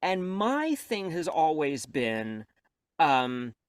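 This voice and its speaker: A reflective, self-deprecating man speaks as a guest in an interview, and his voice sits a little high. The recording is dry and close to the mic. He picks up pace when he gets animated. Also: drawn-out words, clean tone, no room sound. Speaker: audiobook narrator and coach, guest. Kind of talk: candid interview conversation.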